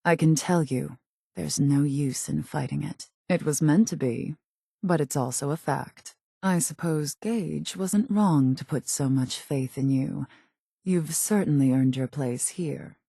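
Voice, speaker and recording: A cold, calculating female raider leader for a video game. Her voice is low and mellow with a heavy fry, recorded very dry. She speaks formally and with measured composure, every line carrying quiet menace. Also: no room sound, heavily acted. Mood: cool, calculated. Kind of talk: video game character voice acting.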